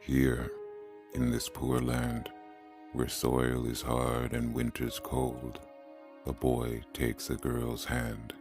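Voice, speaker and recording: An actor playing a solemn male reciter, reading love poetry in a measured way for an audio drama. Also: rumbling low, vocal fry, over music, exaggerated voice. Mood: solemn, mournful.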